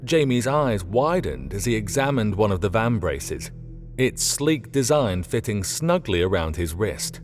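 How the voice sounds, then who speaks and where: A man reading a scripted story as an audiobook narrator, in an expressive storytelling manner. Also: on the low side, a little slow, faint music behind.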